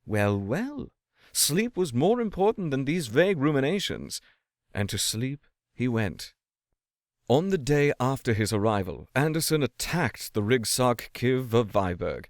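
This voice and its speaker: A man giving a measured, expressive reading of a classic ghost story as a scripted narration. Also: medium pitch, dry recording, studio quality. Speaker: audiobook-style story reader.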